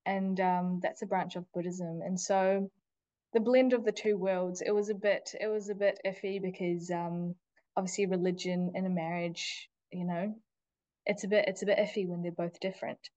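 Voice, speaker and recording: A woman who is a teacher, a guest on a podcast, speaking casually and thoughtfully with many pauses and fillers. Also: on the low side, fairly even intonation, warm tone, no room sound, lo-fi sound. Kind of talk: casual interview chat.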